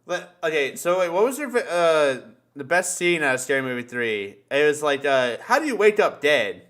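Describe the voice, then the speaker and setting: A man chatting casually as a podcast host, excitable and halting, swearing freely. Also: on the high side. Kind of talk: casual, profane group chat.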